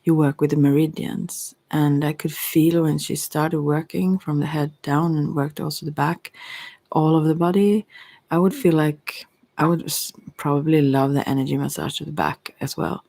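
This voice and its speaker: A woman who is a non-native English speaker, chatting in soft-spoken ASMR style, hesitant and meandering, often slipping into whispers close to the mic. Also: deep voice, steady tone, moderate pace, gravelly, no room sound. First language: not English. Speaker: ASMR creator and tarot reader.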